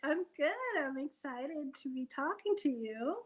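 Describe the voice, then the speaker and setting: Female, high-pitched voice. Writer as interview guest, casual and animated, thinking aloud. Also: measured pace.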